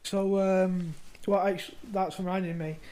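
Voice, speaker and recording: A podcast co-host telling a workplace anecdote in a lively, casual way, with plenty of swearing. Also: mid-range voice, unhurried. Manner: animated storyteller, swears freely.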